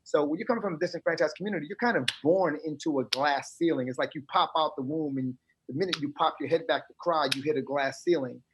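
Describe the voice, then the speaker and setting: Man, middle-aged, fairly high voice, emphatic, streetwise, animated chat. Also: fast, noisy background. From United States. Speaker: guest. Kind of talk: casual podcast interview.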